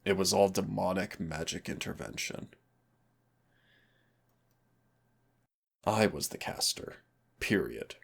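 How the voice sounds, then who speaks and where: Deep gravelly demon mentor, acted by a man. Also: expressive, heavily acted. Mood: grim, bitter. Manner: teasing, deliberate, dramatic pauses. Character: teasing male demon hunter, patient but stern mentor. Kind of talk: audio roleplay acting, intimate second-person dialogue.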